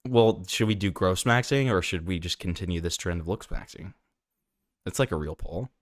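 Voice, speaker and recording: A man's voice: low voice, moderate pace, no echo, studio quality. A young man hosting a livestream, rambling about his poll with lots of filler words. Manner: rambling, filler-heavy, jokey. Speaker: streamer host. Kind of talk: casual livestream chat.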